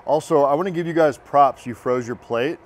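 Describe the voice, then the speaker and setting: Man in his thirties, relaxed culinary instructor demoing a dessert, lapel mic in a big kitchen, faint hum behind. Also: a little high, a little fast. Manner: relaxed, encouraging, talks while working. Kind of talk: cooking demonstration. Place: large teaching kitchen, indoors.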